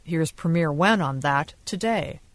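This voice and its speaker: A woman's voice: sits low, lively intonation, a little slow, no echo. A woman correspondent narrating a scripted radio news report. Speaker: foreign correspondent.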